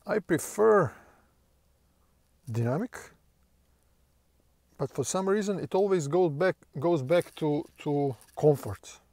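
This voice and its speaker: A man's voice: fairly high pitch, expressive, slow, pauses often, darkish tone, dry recording. A man, rambling casually and hesitantly through a review of his new car from inside it, swearing now and then. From Croatia. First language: Croatian. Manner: loose, hesitant, full of fillers and restarts, swears casually.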